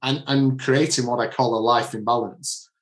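Man, Manchester accent, author being interviewed, earnest with frequent restarts, middle pitch, roomy sound. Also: fairly even intonation, normal speed. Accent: Manchester English. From Manchester area, England. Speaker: author and leadership coach. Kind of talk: podcast interview answers.

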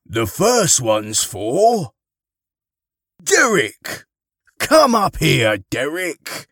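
Male performer as kindly elderly Father Christmas for an audiobook, hearty projection, lively swings, dry sound. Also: on the high side, extremely slow, no room sound, voice pushed up, heavily acted. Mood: encouraging. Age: older. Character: kindly retired grandfather playing jolly Father Christmas. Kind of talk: audiobook character dialogue.

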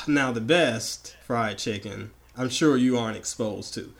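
A man from the American South co-hosting a podcast, hoarse and raspy from the flu, joking and opinionated in casual chat. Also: mid-range voice, a little slow, fairly bright tone. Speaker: podcast co-host.

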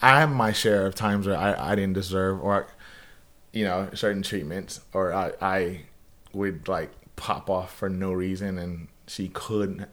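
A man's voice: low voice, steady tone, a little slow, a bit bright. A man on a podcast, talking earnestly about marriage in a rambling way, often restarting his sentences. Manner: earnest, rambling, self-correcting. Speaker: podcast guest. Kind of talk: casual podcast conversation.

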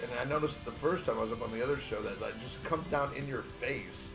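A man co-hosts a podcast, telling stories in a rambling, halting way with frequent restarts. His voice sits at a middle pitch. Music plays behind him. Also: gravelly, poor audio. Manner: rambling, hesitant storytelling with restarts. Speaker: podcast co-host. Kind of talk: casual podcast chat.

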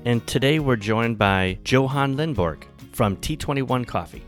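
A man's voice: mid-range voice, lively intonation, a little slow, soft background music. A man co-hosting a podcast, welcoming a guest in a friendly, eager way. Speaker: podcast co-host.

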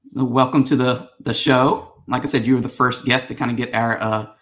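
A man's voice: medium pitch, fairly even intonation, moderate pace, a bit bright. A man hosting a casual interview show, asking rambling, friendly questions with plenty of ums and restarts. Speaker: podcast host.

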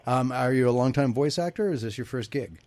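A man's voice: medium pitch, fast talker, a little mellow, dry recording. A man hosting a live call-in radio show, joking and laughing often.

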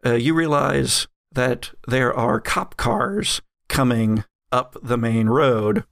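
Man, game master, gravelly fry, dry close sound, halting casual narration. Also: mid-range voice, a bit bright, no room sound. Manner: halting, searching for words.

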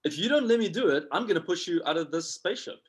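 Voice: mid-range voice, expressive, fast, natural voice. A performer playing a desperate, childish space stowaway in an improv comedy sketch, volatile, threatening and pleading.

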